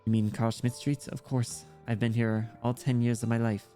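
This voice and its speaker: A man's voice: medium pitch, little pitch movement, normal speed, mellow, natural voice. A man reading the game lines of an earnest, proud ten-year-old girl in a plain storytelling way. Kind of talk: reading visual-novel dialogue aloud. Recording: music playing behind the voice.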